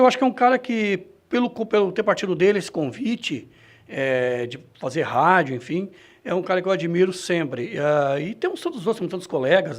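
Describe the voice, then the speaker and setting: A man answering interview questions about his own experience, in a halting, self-correcting way. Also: high voice. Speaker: radio reporter and presenter being interviewed. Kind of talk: reflective interview answer, personal anecdotes.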